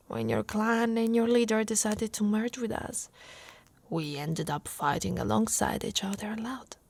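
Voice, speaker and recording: An affectionate orc warrior wife, played by a woman speaks softly and intimately in an ASMR roleplay. Her pitch sits in the middle range, with a creaky edge. She takes her time with every phrase, tender and teasing toward her partner. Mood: fond, reminiscing. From Italy. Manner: soft, intimate, unhurried delivery with breathy whispered stretches.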